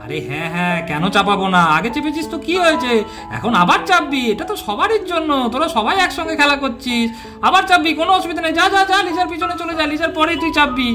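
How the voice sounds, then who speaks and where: A man's voice: extremely high pitch, lively intonation, fast, music in the background. A man voicing an emphatic, kindly but bossy village father in a doll story, repeating his phrases. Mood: pleased, kindly. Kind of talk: amateur doll-story voice acting.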